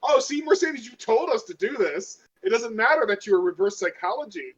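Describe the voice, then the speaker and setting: A woman's voice: on the high side, lively intonation, brisk, breathy edge. A woman bantering sarcastically as a player in a tabletop role-playing game, on a lo-fi microphone. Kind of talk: casual banter.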